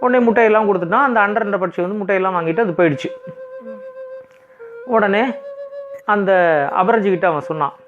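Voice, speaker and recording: A man's voice: high voice, a little fast, pauses often. A man narrating a children's bedtime story informally, in simple conversational language. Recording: thin phone-like sound, music behind.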